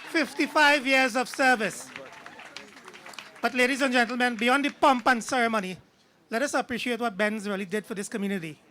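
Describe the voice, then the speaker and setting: A man's voice: very high-pitched, brisk, sharp tone, slight background noise. A man with a Trinidadian accent, an ambassador, giving a stately ceremonial speech outdoors. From Trinidad and Tobago. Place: outdoors on a city street.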